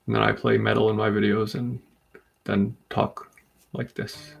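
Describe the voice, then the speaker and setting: Gravelly, calm-voiced man on a livestream. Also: fairly low pitch. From Rhode Island. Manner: calm, steady, unhurried. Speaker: livestream host. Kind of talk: casual live chat, reading comments.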